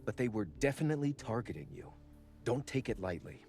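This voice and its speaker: Earnest, cautious gallery manager. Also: male voice, mid-range voice, expressive, fairly quick, gravelly, a little mellow, soft background music. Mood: concerned. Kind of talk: video game voice acting.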